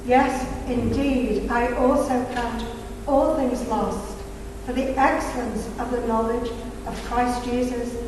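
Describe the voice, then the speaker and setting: Slow woman reading scripture from afar. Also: a little high, steady tone. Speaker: scripture reader from the congregation. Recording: distant, echoey hall with background noise.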